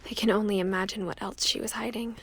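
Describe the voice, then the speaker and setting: A woman playing a thoughtful young woman, narrating a game in a quiet, understated, reflective voice-over. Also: mid-range voice, fairly even intonation, fast, slight background noise, close to an everyday voice. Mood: pensive, hurt. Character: thoughtful young woman uncovering her family's secrets.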